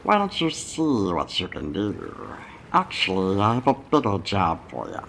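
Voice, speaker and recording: An actor playing a folksy, self-assured space station boss with a gravelly, commanding delivery in a noir video game. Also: male voice, on the low side, wildly swooping pitch, a little slow, fairly bright tone, slight background noise, full character voice. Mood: smug, businesslike. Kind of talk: noir point-and-click game acting.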